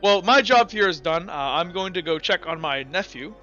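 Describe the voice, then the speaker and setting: A man acts out a massive, good-natured guard in a tabletop game, earnest and politely scolding. The voice is fairly high and bright, on a slightly dull recording. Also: lively intonation, moderate pace, soft background music. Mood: amiable. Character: huge amiable jacked male guard, earnest and literal-minded.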